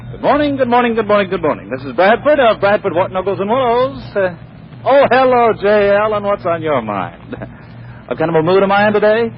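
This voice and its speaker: Breezy, swooping playboy, old radio. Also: male voice, high voice, sing-song, brisk. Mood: jaunty. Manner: glib, bantering, exclaiming. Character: breezy playboy turned self-styled businessman, cocky and scheming. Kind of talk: 1940s radio comedy acting. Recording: dull, noisy 1940s radio recording.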